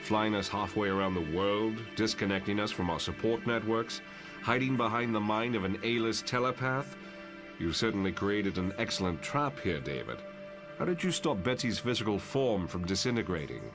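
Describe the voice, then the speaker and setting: Man acting a deep, measured professor over music. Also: animated, natural voice. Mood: cool, probing. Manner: measured, articulate, wry. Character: calm, fatherly older male telepath professor confronting his son. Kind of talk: dramatic motion comic voice acting.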